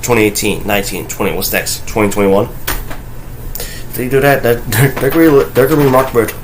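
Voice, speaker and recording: A man raised in North Carolina, recording a casual home vlog, rambling with many halting restarts and blunt, profane remarks. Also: medium pitch, background noise. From North Carolina, USA (raised there, born in Okinawa, Japan). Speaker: vlogger. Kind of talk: casual ranting monologue.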